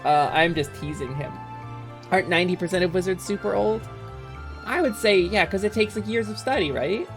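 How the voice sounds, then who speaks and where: A young adult woman streaming a game, chatting casually and excitably, swearing freely. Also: lowish voice, fairly quick, soft background music.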